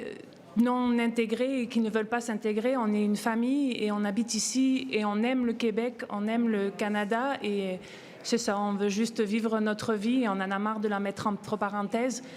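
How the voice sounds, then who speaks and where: A woman in her forties with a French accent gives a calm, measured testimony. Her voice sits a little high and rings with a long hall reverb. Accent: French (France). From France. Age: 40s. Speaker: press conference speaker. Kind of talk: personal testimony at a press conference.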